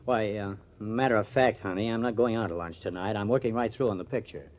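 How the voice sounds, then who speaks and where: An actor playing a cocky young newspaper artist with a sardonic, teasing manner in an old-time radio crime drama. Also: male voice, on the low side, a little fast, lightly acted. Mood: harried, defensive. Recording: dull lo-fi old radio recording.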